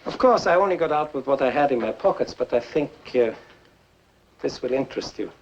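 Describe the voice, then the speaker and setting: A man plays a bitter, gloating fugitive inventor in a 1950s film. He taunts with sardonic, drawn-out theatrical delivery. The soundtrack is dull and slightly noisy. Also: mid-range voice, animated, lightly acted. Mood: dry, sardonic.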